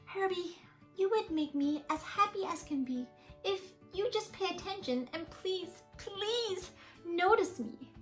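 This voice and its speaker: A woman voicing a lovestruck female slug from a storybook, sweet and yearning, reciting love verse in a drawn-out sing-song. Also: extremely high pitch, expressive, soft background music, pitched above the performer's own voice.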